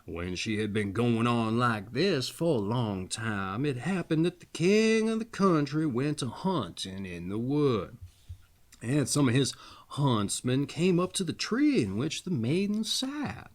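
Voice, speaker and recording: A man reading a fairy tale aloud as a storyteller, with expressive, theatrical narration. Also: mid-range voice, a little slow.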